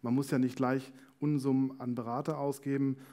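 A man's voice: medium pitch, flat delivery, normal speed, dark tone. A man in his thirties explaining things informally as an interview guest, hesitant and thinking aloud, on a headset mic on an indoor stage. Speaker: company founder as interview guest. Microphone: headset microphone.

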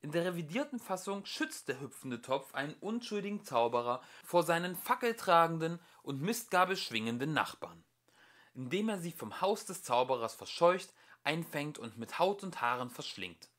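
A young man reading a storybook as an amateur audiobook narrator, in an expressive storytelling style. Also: on the high side, moderate pace.

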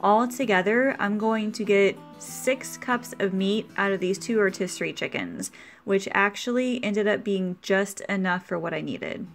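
A woman talks through her meal prep in a relaxed, practical voice-over, pitched in the middle of the range. Soft music plays faintly under her voice. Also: unhurried, vocal fry. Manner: chatty, practical, explaining step by step. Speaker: meal prep video host. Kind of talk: casual cooking voice-over.

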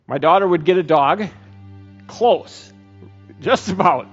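Slow, earnest pastor in a church. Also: male voice, high voice, husky, faint music behind. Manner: slow, earnest, pausing often. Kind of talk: sermon and closing prayer. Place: church stage, indoors. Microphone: headset mic. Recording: dull church recording with long reverb.

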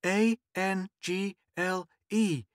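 Narrator playing an amused mother, raised pitch. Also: very animated, measured pace, dry recording, studio quality. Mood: brisk. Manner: gently teasing, motherly. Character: amused, patient mother of a young girl.

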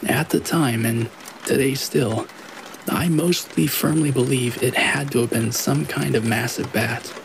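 Male narrator for creepy tales, mid-pitched with a gravelly fry, rain clearly audible behind. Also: unhurried. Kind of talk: scripted narration of scary stories. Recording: steady rain noise behind the voice. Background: rain falling.

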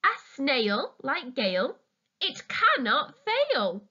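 Woman, children's storytime reader, high bright voice, deliberate delivery, slightly dull lo-fi recording. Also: wildly swooping pitch. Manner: deliberate, addressing young listeners with questions. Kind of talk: expressive children's picture-book read-aloud.

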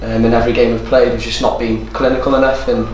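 A man's voice: mid-range voice, level tone, fast. A man in his thirties, a football captain talking in a post-match interview in a small room. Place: small room indoors overlooking a football pitch. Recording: very echoey room, distant mic, noisy.

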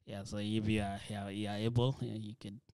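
Soft-spoken man, flat low voice. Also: clear, resonant tone, dry recording. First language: Dinka. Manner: soft-spoken, halting, many fillers and restarts. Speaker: ministry leader, guest. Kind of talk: church interview conversation.

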